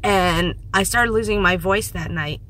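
A woman in her thirties with a hoarse, fading voice, chatting casually and rambling in a vlog from a parked car into a phone held at arm's length. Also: mid-range voice, slow pace, bright, forceful tone. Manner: rambling, self-mocking, laughs often. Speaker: vlogger.

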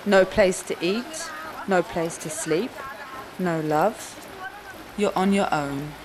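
A woman giving scripted documentary narration in a solemn, measured way, with short deliberate phrases. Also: lowish voice, background noise.

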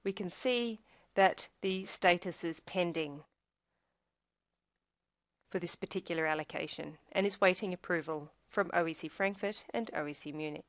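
A woman reading a scripted software tutorial, explaining each step in a measured, instructional way. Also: on the low side, no room sound, clean studio sound.

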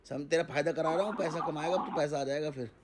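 A man's voice: fairly high pitch, quick pace. A man speaking as a guru advising a caller in casual phone talk, giving instructions and often checking he is understood. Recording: phone call recording.

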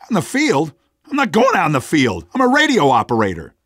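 An actor playing a cocky, stubborn rebel fighter in a video game, casual, streetwise and gravelly. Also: male voice, a little high, wildly swooping pitch, a little fast, fairly bright tone, no room sound, exaggerated voice. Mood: smug, stubborn.

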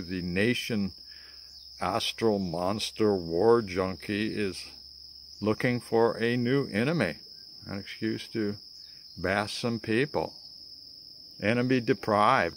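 An American man in his sixties giving a theatrical spoken-word political rant to camera, with dramatic pauses and wry asides. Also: lowish voice, slight background noise. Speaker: vlogger. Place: outdoors among greenery. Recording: outdoor ambience with chirping insects.

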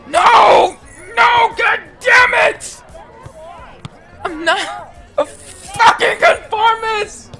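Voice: very high-pitched, extremely slow, pauses often, raised voice, over music, a crowd in the background, exaggerated voice. An actor playing a nervous yet cocky, foul-mouthed oddball in a comedic audio drama, stammering and swinging into outbursts. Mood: frustrated outburst.

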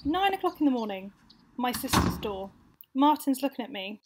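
A woman's voice: high voice, sing-song, normal speed, heavy background noise. A young woman acting out a wry, frank, confessional monologue as narrator of a radio play. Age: young adult.

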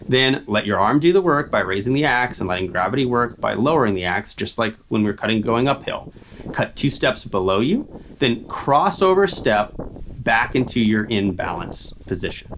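A man's voice: medium pitch, a bit bright. An American man in his thirties gives scripted instructional narration, explaining methodically, step by step. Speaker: outdoor skills instructor and video host.

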